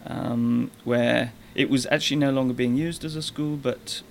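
A man's voice: mid-range voice, steady tone, normal speed, gravelly, slight background noise. A man with a British accent, raised in Africa, chatting casually as a podcast guest and rambling with fillers. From born in Scotland, raised in Zambia. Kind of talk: casual interview chat.

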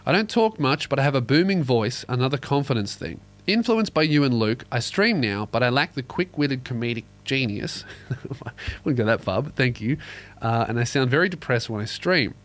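A man's voice: medium pitch, big pitch swings, moderate pace. A young man hosting a casual comedy podcast, crude and sardonic, reading listener emails aloud. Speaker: podcast host.

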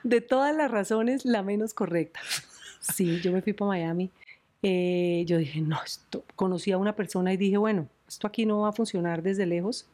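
A middle-aged woman with a Colombian accent, a veteran news presenter. She talks in an animated, storytelling way and laughs often, chatting easily with her interviewer. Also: medium pitch, normal speed. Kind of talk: podcast interview.

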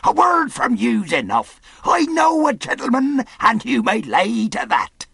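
Storybook pirate leader, acted by a male narrator: sly, jovial, folksy, loud, pitched well up, swooping. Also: huge pitch swings, a little slow, gravelly, breathy edge, no echo, studio quality, heavily acted. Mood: cheery. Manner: bellowing, folksy, bold. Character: Long John Silver, cheery cunning male pirate leader.

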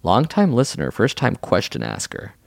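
A man's voice: lowish voice, wildly swooping pitch, moderate pace, vocal fry, no echo. A man in his thirties co-hosting a podcast in a casual, comedic chat, teasing his co-host, laughing often, and reading out a listener email. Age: 30s.

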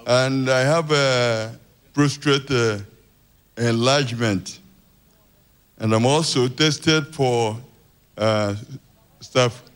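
A man from Imo State with a Nigerian accent, a pastor, states his case at a church service in a halting way, word by word. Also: medium pitch, clean tone. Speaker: pastor giving testimony as a congregant.

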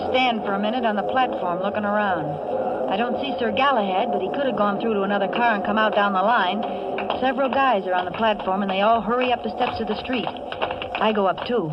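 A woman's voice: medium pitch, dull audio, lightly acted. An actress playing a wisecracking, nervous young American working woman who narrates her own danger in slangy 1940s radio suspense style. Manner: slangy, hard-boiled, wry. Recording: noisy, band-limited old radio recording.

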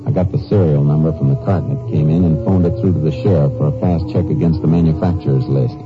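An actor playing a steady, businesslike Texas Ranger in a 1950s radio drama, terse and matter-of-fact as he talks and narrates. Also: very deep voice, quick pace. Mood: sober narration. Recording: dull old radio recording with music.

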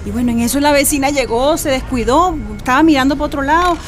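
A woman's voice: sits high, quick pace, natural voice. An actress playing a desperate working-class woman in a radio drama, agitated and stammering, with a Venezuelan accent. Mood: desperate, outraged. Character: desperate poor neighbourhood woman pleading for her friend.

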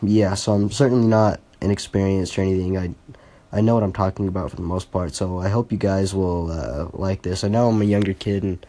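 A man's voice: low voice, steady tone, fairly quick, dark tone. A teenage boy hosting his first solo podcast, talking casually and hesitantly with many fillers and restarts, earnest and a little self-conscious. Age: teens. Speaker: podcast host.

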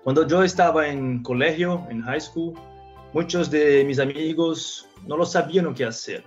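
A man's voice: a little high, highs cut off, soft background music. A Brazilian man in his thirties, a native Portuguese speaker, talking as a podcast guest in Spanish with a Brazilian Portuguese accent, often restarting his phrases. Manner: halting, with frequent restarts. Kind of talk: casual interview talk.

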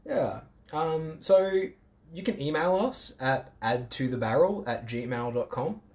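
A man's voice: mid-range voice, expressive, takes it slowly, a little mellow. An Australian man hosting a casual podcast chat, jokey and self-mocking. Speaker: podcast host.

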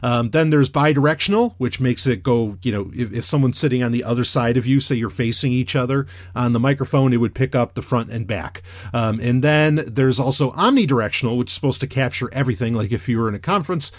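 A man with a loud voice shaped by years of radio work talks through a gear review in a loose, chatty way, full of fillers and restarts. His voice sits at an average pitch for a man. The sound is close and dry, with no room around it. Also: fast talker, no room sound. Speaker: podcaster reviewing a microphone. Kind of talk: casual rambling product review.

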